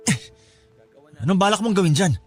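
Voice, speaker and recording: A man's voice: high voice, lively intonation, fairly quick, a little mellow, husky, soft background music. A man playing a callous, hot-headed boyfriend in his twenties, aggressive and dismissive, with a Filipino accent in a radio drama. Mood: wary, dismissive. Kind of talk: Tagalog radio drama acting.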